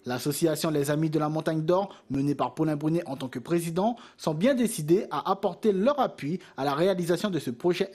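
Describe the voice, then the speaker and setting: A man reading a news report voice-over, brisk and clear. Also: a little high, a little mellow.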